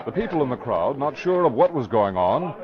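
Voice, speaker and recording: A man's voice: mid-range voice, very animated, a little fast, natural voice. A man narrating a scripted mystery in urgent 1940s radio style. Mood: dramatic. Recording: dull vintage radio recording with crowd.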